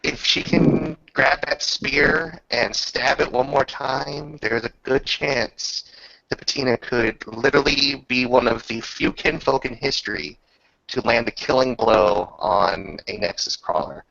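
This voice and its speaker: Gravelly bright-voiced man running a game. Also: medium pitch, a little slow, no room sound, dull audio. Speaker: game master of a tabletop roleplaying session. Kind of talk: casual tabletop game narration with rules calls.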